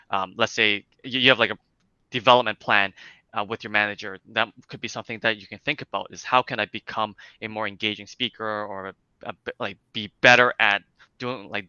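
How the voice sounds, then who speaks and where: Man, light Cantonese accent, bright forceful tone, smooth clear voice, dry slightly dull sound. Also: lowish voice, no room sound. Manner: explanatory, many fillers and restarts. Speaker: interview guest, speech clinic founder. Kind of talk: casual interview.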